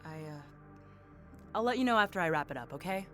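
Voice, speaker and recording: A woman's voice: fairly low pitch, expressive, a little fast, soft background music, lightly acted. An actress playing a determined but guarded female investigator in an audio drama, earnest and a little hesitant. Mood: subdued, guarded.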